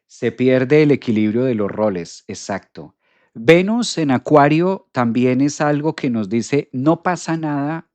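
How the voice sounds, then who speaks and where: A man's voice: mid-range voice, a little mellow, no echo. Emphatic man, dry close sound. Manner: emphatic, repeats phrases, agrees with "exacto". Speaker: astrologer host. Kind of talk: live-stream talk reading viewer comments.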